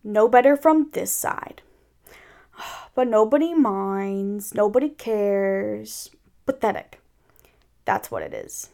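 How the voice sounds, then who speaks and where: A woman's voice: medium pitch, sing-song, takes it slowly, creaky, pauses often, warm tone, exaggerated voice. A woman voicing a gloomy, self-pitying old male donkey from a storybook, with a mournful, sighing, sarcastic delivery.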